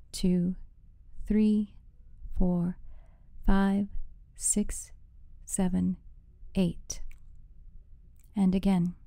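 Slow, very mellow woman guiding meditation. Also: mid-range voice, no room sound. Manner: soothing, measured, with soft breathy phrase endings. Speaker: meditation guide. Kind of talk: guided meditation, slow instruction.

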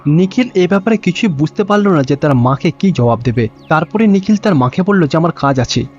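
High-voiced man narrating a story over music. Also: expressive, a little fast. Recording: dull lo-fi sound with music. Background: background music.

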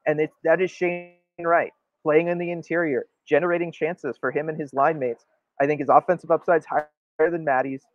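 A man's voice: on the high side, little pitch movement, fairly quick, no echo, dull audio. A man co-hosting a sports show, giving emphatic opinionated commentary and often checking for agreement. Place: indoor room, video call.

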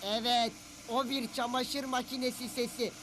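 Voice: medium pitch, flat delivery, normal speed, close to an everyday voice. An actor voicing a keen, knowing young character in a cartoon. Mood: puzzled. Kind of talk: cartoon voice acting. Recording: clear background noise.

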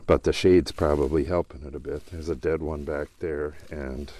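A man's voice: rumbling low, steady tone, normal speed, warm tone. A man casually walking through his garden and talking about his plants, rambling and thinking aloud as he goes. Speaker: gardening channel host. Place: outdoors in a garden.

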